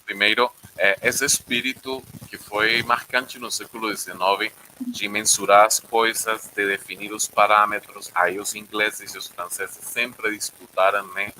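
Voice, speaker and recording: A man moderating an academic panel, summarizing reflectively in halting, informal phrases. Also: fairly low pitch, level tone, sharp tone, slight background noise, poor audio.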